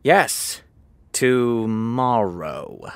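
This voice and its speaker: A defensive, sardonic TV writer in an audio drama. He speaks slowly with big, dramatic swings of pitch and dry sarcasm, recorded close in a dead, dry space. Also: expressive, clean tone, no room sound. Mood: bluffing, indignant. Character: sardonic, defensive male TV showrunner, harried and theatrical. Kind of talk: naturalistic audio drama acting.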